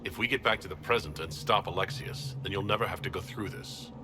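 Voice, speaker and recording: A man playing a cocky, resolute game hero with a blunt, deadpan manner. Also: low-pitched, brisk, noisy background, natural voice. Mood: matter-of-fact. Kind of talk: dramatic game voice acting. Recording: echoey hall, music behind.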